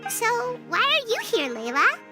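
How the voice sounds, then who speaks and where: A tiny fairy companion from a video game, extremely high and squeaky. She is perky, blunt and childlike, leaping wildly in pitch over prominent music. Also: measured pace, full character voice. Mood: curious. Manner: excitable, blunt.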